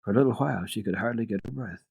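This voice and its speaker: A man giving an expressive, careful dramatic reading of classic children's literature as a radio storyteller. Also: lowish voice, very fast, creaky, slightly rough, no room sound, poor audio.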